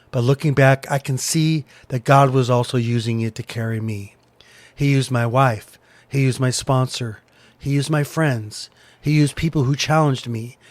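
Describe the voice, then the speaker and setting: A man hosting a podcast, giving a personal reflection to listeners in deliberate, short, repeated phrases. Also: mid-range voice, dry recording. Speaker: podcast host and pastor.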